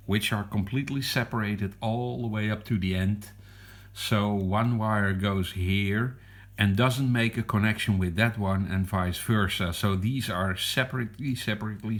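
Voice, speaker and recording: A Dutch man, a non-native English speaker, demonstrating a meter at his desk in a hesitant, halting way. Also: on the low side. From Netherlands. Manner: hesitant, halting, with many ums and restarts. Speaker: hobbyist reviewer. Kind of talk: casual product demonstration. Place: desk at home.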